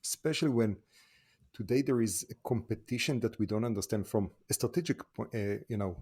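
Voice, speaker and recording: A man's voice: fairly low pitch, brisk, a little mellow, husky. A man hosting a casual interview podcast, asking long, friendly, rambling questions. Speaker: podcast host.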